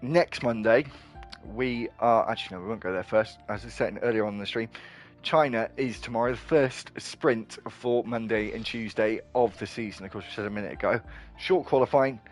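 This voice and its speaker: A man giving live play-by-play commentary on an online racing league, excitable and quick to correct himself. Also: on the low side, soft background music.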